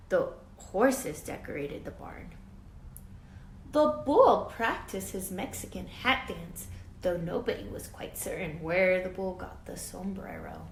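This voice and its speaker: Animated woman reading a storybook, roomy sound. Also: fairly low pitch. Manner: expressive, with dramatic emphasis and hushed asides. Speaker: teacher reading a picture book aloud. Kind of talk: animated children's read-aloud with questions to listeners. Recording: roomy echo with faint background noise.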